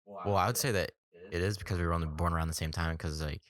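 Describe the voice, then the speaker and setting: A man's voice: low-pitched, fairly even intonation, fast talker. A young man co-hosting a podcast, musing in laid-back casual chat. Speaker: podcast co-host.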